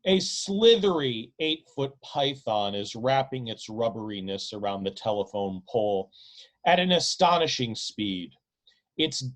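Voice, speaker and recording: Guy reading his literary novel out loud, medium pitch, really slow n deliberate, dramatic emphasis on words. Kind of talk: literary audiobook narration.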